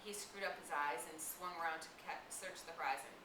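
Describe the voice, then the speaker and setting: A woman reads a novel aloud in a slightly low voice, with occasional stumbles. She sits back from the microphone in a roomy space, and a clear hiss runs through the recording. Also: steady tone, brisk, bright, forceful tone, far from the mic. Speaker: audiobook-style reader.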